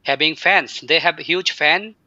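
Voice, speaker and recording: A man hosting an interview, asking questions in halting, non-native English. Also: fairly high pitch, pushed and bright, lo-fi sound. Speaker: host.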